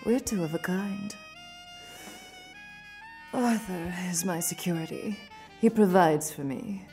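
Mellow-voiced sultry noir femme fatale. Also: female voice, lowish voice, takes it slowly. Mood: seductive. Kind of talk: noir radio-drama acting. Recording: studio production with music underneath. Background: music.

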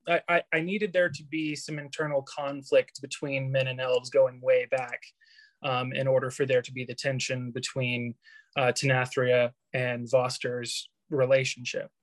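A man's voice: mid-range voice, fairly bright tone, no room sound. A man being interviewed as an author, explaining things carefully and hesitantly.

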